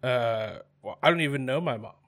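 A man's voice: mid-range voice, moderate pace, a bit bright. A man in his thirties co-hosting a podcast, chatting casually in a laid-back, self-mocking way and laughing often. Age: 30s. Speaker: podcast co-host. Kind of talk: casual chat.

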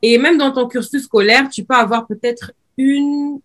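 A woman's voice: sits high, fairly even intonation, measured pace, a bit bright. A young woman hosting a podcast in casual chat, talking in an emphatic way and often repeating her words. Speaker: podcast host.

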